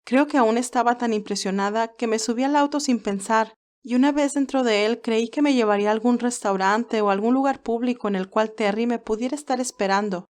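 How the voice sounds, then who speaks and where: A woman's voice: fairly high pitch, a little fast, darkish tone, lightly acted. A woman voicing a young, naive, tearful nurse who confesses earnestly in an audio story. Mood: troubled, explaining.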